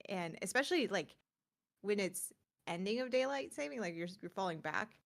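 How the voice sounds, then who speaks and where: A woman co-hosts a tech and gaming show in a casual style. Her voice sits at a middle pitch. She is chatty and informal, wandering a little through her thoughts as she talks. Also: expressive, normal speed, creaky. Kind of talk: casual chat.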